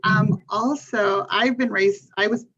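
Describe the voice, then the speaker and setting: A woman's voice: mid-range voice, steady tone, slow pace, a bit bright, slightly rough. A woman from California's Central Valley introducing herself as a mortgage consultant on a panel, speaking steadily and earnestly with frequent um fillers. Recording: dull lo-fi sound.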